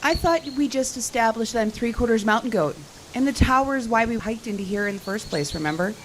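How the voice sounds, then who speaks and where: A woman's voice: fairly high pitch, animated, fast, slight background noise, lightly acted, low-quality recording. A woman playing a confident, teasing cell tower technician in an audio drama, with a dry, sarcastic, bantering delivery.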